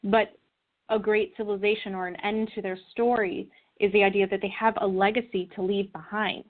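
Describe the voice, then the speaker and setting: A woman's voice: mid-range voice, flat delivery, fairly quick. Earnest woman guest on a phone-like line. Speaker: interview guest. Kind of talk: conversational explanation. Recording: narrow phone-like call line.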